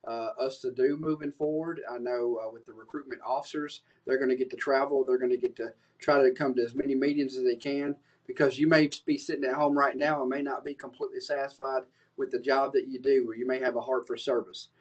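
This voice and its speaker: Upbeat police commander on a muffled call. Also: male voice, mid-range voice, fairly even intonation, fairly quick, few pauses, darkish tone. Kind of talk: community meeting remarks. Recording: muffled video call audio.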